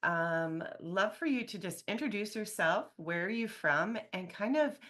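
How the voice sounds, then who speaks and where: A woman hosting a podcast, talking in an excited, chatty way with many fillers. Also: medium pitch, measured pace. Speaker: podcast host. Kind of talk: enthusiastic podcast intro and interview.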